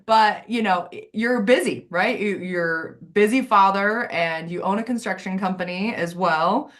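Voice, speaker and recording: A woman's voice: mid-range voice, measured pace, fairly bright tone. A woman hosting a live interview, encouraging her guest and often checking in with "right?". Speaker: host. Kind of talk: casual interview chat.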